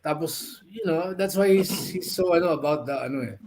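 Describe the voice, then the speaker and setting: A man's voice: a little high, a little fast, a little mellow. An older man with a Filipino accent casually telling an anecdote, switching between Tagalog and English in a conversational way.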